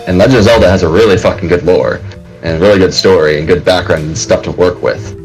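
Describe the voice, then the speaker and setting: Low-voiced man chatting casually. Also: fast, darkish tone, faint music behind, rough recording. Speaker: podcast co-host. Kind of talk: casual opinionated chat.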